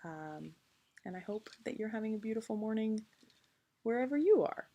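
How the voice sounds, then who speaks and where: A woman's voice: a little high, expressive, normal speed, warm tone. A woman in her late thirties talking earnestly and reflectively to camera in a casual vlog, with frequent ums. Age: 30s. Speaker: vlogger.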